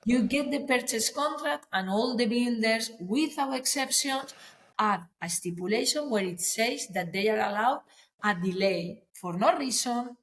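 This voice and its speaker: A woman's voice: fairly high pitch, lively intonation, a little slow, rough recording. Woman, lawyer explaining stuff on a podcast, earnest, english. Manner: earnest, explanatory, non-native phrasing with restarts. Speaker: property lawyer, guest.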